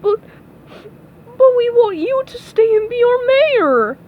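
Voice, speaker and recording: Woman voicing an excitable, high-pitched cartoon townsman. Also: very slow, full character voice. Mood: upset. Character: emotional, easily delighted cartoon townsman. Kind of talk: read-aloud children's cartoon storybook. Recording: dull, noisy recording.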